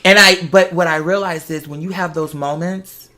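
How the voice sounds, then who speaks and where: Host just chatting, real bright forward voice, explains stuff with little examples and quotes. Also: mid-range voice, normal speed. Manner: animated storytelling, explains with examples and acted-out quotes. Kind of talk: casual personal chat.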